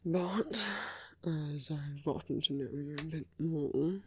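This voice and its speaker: A man playing a soft-hearted villain wooing his heroic enemy in an intimate ASMR roleplay, speaking slowly and deliberately in a breathy hush that often slips into whispers. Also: on the high side, no room sound, full character voice. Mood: tender, hesitant. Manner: slow, deliberate, hushed, often slipping into whispers. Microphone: close, dry microphone.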